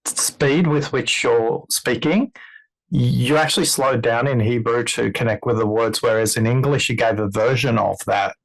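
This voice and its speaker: Measured, coaching man on a podcast. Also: mid-range voice, vocal fry, low-quality recording. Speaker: podcast host, listening coach. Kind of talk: podcast conversation.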